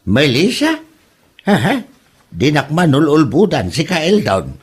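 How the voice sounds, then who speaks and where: A man's voice: on the high side, big pitch swings, measured pace, husky, full character voice. An actor playing a suspicious, scolding old father exasperated with his idle son in an Ilocano comedy drama.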